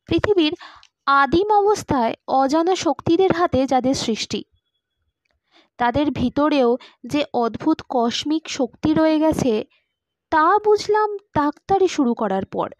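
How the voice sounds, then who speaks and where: A woman's voice: high-pitched, animated, a little slow, long pauses, no room sound, rough recording. A woman reading a first-person story aloud as a scripted audiobook narrator.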